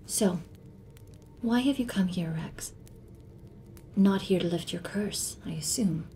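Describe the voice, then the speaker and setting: A woman's voice: takes it slowly, mellow. A woman playing a sly, imprisoned moon goddess in an ASMR roleplay drama, with a composed, teasing, sardonic manner. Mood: cool, wry. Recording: faint noise, low rumble behind voice.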